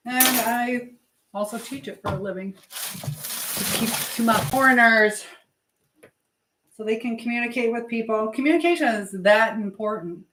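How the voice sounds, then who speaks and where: A woman with a fairly high voice hosts a casual live chat from her home kitchen. She rambles and thinks aloud in a chatty, informal way. The room is clearly echoey, with light noise behind her. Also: unhurried, long pauses. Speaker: livestream host, language teacher. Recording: roomy echo, clear background noise.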